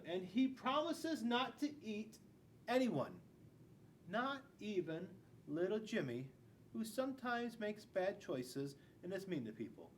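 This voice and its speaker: Animated, high-pitched man reading to kids. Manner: playful, addressing young children. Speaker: school resource officer reading to children. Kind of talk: storybook read-aloud with chatty asides. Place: school classroom. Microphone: camera a few feet away. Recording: distant mic, faint room noise.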